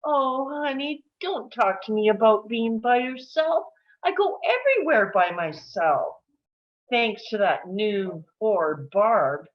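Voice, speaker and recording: Woman acting older divorced mother, brash, chatty, melodramatic, very animated high voice, comedy read, slightly dull audio. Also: takes it slowly. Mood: needling, bitter. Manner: breezy, blunt, melodramatic.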